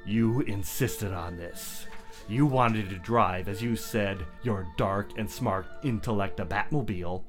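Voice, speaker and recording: A man voicing a grim superhero who speaks slowly in a lofty, patient teacher tone. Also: lowish voice, music in the background, natural voice. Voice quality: slow, lofty, patronizing.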